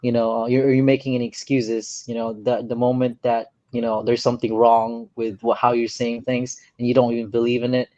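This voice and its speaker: Mellow soft-spoken Filipino-born man. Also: mid-range voice, level tone, no room sound, highs cut off. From Philippines. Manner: soft-spoken, many "um" pauses, earnest. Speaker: guest, real estate investor. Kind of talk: casual interview chat.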